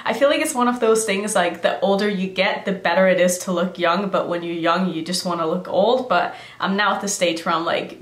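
A woman's voice: lowish voice, roomy sound. A woman in her thirties chatting casually to camera in a Q&A vlog, filling her talk with "like.". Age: 30s. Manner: chatty, lots of "like" fillers. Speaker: beauty vlogger. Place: decorated room indoors. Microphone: camera framing head and shoulders.

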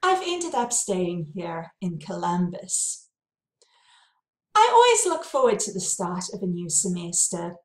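A woman in her thirties with a South African accent, a university lecturer giving a clear, friendly welcome to her course from a home study, recorded on a webcam. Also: mid-range voice, echoey room. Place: home study with bookshelves, indoors.

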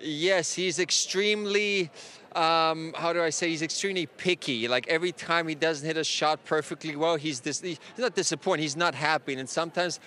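A man's voice: on the high side, moderate pace, sharp tone. A man in his thirties, a former player, gives casual tennis analysis outdoors at night into a handheld mic, hesitating with fillers and restarts. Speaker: former player as remote guest. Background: faint crowd and street ambience.

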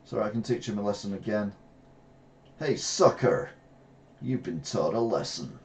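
A middle-aged English man streaming a retro racing game, reacting excitably and trash-talking rivals from a gaming chair at home. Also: fairly low pitch, moderate pace. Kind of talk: live gameplay commentary. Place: small room at home, seated in gaming chair.

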